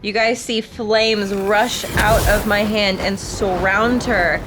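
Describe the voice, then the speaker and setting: A woman's voice: medium pitch, steady tone, slow, music in the background, low-quality recording. A woman playing a tabletop fantasy game, casually describing her character's moves. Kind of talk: casual game play among friends.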